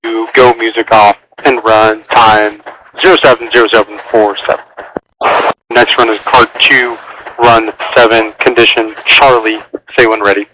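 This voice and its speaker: A man's voice: fairly low pitch, flat delivery, a little slow. A man working as a military mission controller, giving procedural instructions in clipped phrases over a radio transmission. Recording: noisy military air-band radio. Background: radio static and clicks.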